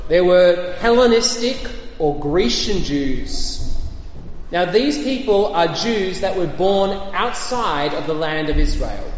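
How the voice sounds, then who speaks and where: A man's voice: sits high, a little hiss or hum. A man preaching a sermon in a conversational way, with fillers and light jokes. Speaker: pastor. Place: church hall. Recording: echoey hall, dull lo-fi sound.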